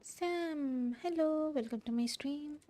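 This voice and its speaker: Mellow, higher-voiced Indian woman streaming. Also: slow pace. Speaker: game streamer. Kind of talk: casual livestream commentary in mixed Hindi and English.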